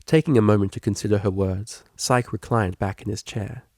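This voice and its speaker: Creaky-voiced man narrating a story. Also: lowish voice, fairly even intonation, brisk, darkish tone, dry recording, clean studio sound. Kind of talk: scripted story narration, audiobook reading.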